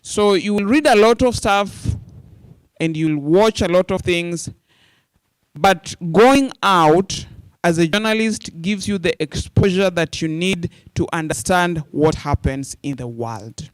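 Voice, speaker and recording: A man's voice: high-pitched, slow, clear, resonant tone, dry recording. A Kenyan man in his forties, a radio journalist speaking earnestly and directly to media students. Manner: earnest, direct, addresses listeners as "you guys".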